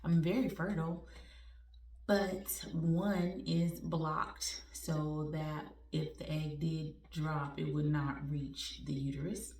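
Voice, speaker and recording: Slow-talking woman in her forties, roomy sound. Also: deep voice, little pitch movement, darkish tone, recorded from a distance. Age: 40s. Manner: candid, conversational, laughs at herself, uses y'all. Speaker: vlogger. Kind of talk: personal heartfelt talk to viewers.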